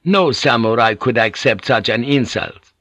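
A man's voice: medium pitch, measured pace, bright, forceful tone, no room sound. A man voicing a coldly amused Jesuit priest in an audiobook, relaying words in a pointed, cool manner.

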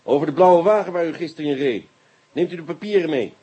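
An actor playing a brisk, wry, commanding police inspector in a radio play, with a Netherlands Dutch accent. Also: male voice, a little high, expressive, dark tone, breathy edge, lo-fi sound. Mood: smug, insistent. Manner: commanding, dryly sarcastic.

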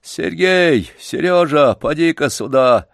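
A man's voice: fairly high pitch, expressive, takes it slowly, creaky, no echo, studio quality, voice pushed up, heavily acted. A man voicing a frail old grandfather, a grumbling, plaintive circus wanderer in a story, speaking in a folksy, emphatic way. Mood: shaken. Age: older.

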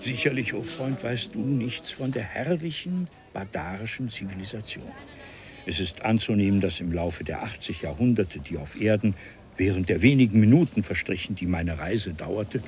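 An actor playing an elderly, courteous scholar from an ancient civilization in a German radio play, speaking in formal, archaic, ornate phrases. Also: male voice, lowish voice, normal speed, a crowd in the background, natural voice. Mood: stately, courteous. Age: older. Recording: 1970s radio play with audible noise.

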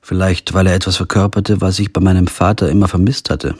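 An actor playing a stubborn, principled lawyer who tells a radio crime story in measured, literary German prose style. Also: male voice, deep voice, level tone, darkish tone, slightly rough, dry recording, clean studio sound. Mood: brooding, measured. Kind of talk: first-person radio crime drama narration and dialogue. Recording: music behind the voice.

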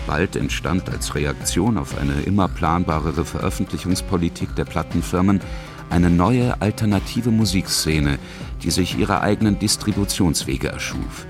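Deep-voiced male radio feature narrator. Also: moderate pace. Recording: music under the voice. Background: music.

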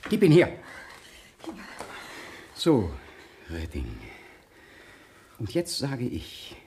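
An actor playing a steady, commanding male pastor in a radio crime drama, giving firm clipped orders. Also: medium pitch, extremely slow, vocal fry, a little hiss or hum, exaggerated voice.

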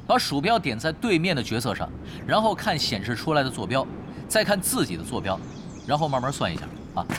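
A man's voice: mid-range voice, very animated, heavy background noise, lightly acted. An actor in a Chinese audio drama playing a laid-back, shrewd veteran online gamer who teases unhurriedly and commands his raid team. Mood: commanding. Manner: unhurried teasing in talk, commanding when giving orders. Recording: studio drama with loud music behind.

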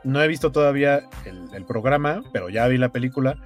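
A Mexican man with a mid-pitched voice talks in a casual, animated way, like someone telling stories to his viewers on a gaming news show. Music plays softly behind him as he chats. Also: brisk. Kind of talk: casual chat, reading viewer comments.

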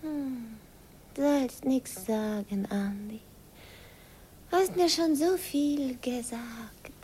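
With faint noise behind her, a young village girl in an old radio play. Her voice is high and she takes her time, tender and impulsive. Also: slow pace, mellow. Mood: tender, intimate. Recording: slightly noisy old radio recording.

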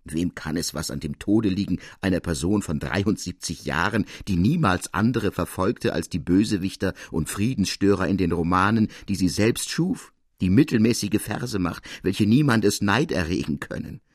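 A man voicing a dignified, witty noblewoman of seventy-three in a storybook reading, with measured, courtly speech. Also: low voice, lively intonation, husky, dry recording, clean studio sound, heavily acted. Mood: amused, dismissive. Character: dignified witty 73-year-old French noblewoman, unafraid, self-deprecating.

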